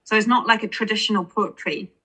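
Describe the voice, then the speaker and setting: Woman, Estonian accent, bright voice, hesitant, restarts a lot. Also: mid-range voice, steady tone, fast talker, slightly rough, rough recording. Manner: hesitant, many restarts and fillers, earnest. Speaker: author and poet, guest. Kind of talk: reflective interview conversation.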